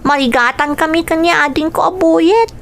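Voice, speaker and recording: A woman's voice: very high voice, moderate pace, fairly bright tone. A woman playing a dutiful, weary young girl with a respectful, plaintive way of talking in a radio drama. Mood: aggrieved, weary. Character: dutiful, weary young girl living under her uncle's roof.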